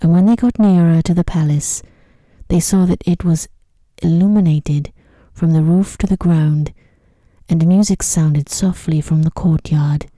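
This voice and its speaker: A woman reading a sleep story in a soft-spoken ASMR style, with a gentle, hushed, unhurried delivery. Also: sits low, steady tone, no room sound.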